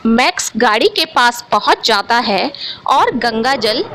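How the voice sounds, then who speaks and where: Slow female horror-story narrator. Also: a little high, animated. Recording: very loud ambient noise behind voice.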